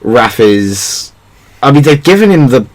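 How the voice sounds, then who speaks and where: A man's voice: medium pitch, big pitch swings, a little slow, poor audio. A man hosting a podcast in a casual discussion, giving his opinions in an analytical way. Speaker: podcast host.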